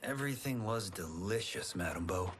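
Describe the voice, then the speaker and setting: An actor playing an earnest, modest young martial artist in a video game, mild and lightly wry. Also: male voice, low voice, lively intonation, normal speed, noisy background. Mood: friendly rivalry. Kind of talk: video game cutscene acting.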